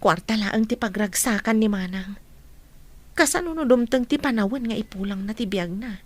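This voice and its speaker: A woman's voice: medium pitch, normal speed, dry recording, lightly acted. A woman acting an emotional, respectful grown daughter with a Filipino accent in a radio drama. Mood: wry.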